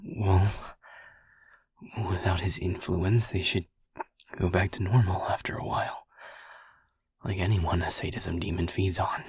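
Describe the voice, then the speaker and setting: A man playing a cool, confident, protective demon in an audio roleplay, talking in a wry, weary, unhurried way. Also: low-pitched, steady tone, slightly rough, dry recording, heavily acted. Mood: gently pragmatic.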